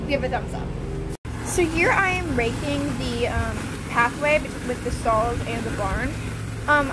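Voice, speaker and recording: A woman's voice: high-pitched, heavy background noise. A teenage girl chatting casually with lots of fillers as she vlogs her barn chores, recorded on a phone camera. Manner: chatty, rambling with many fillers. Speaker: vlogger. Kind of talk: casual vlog narration. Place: horse barn.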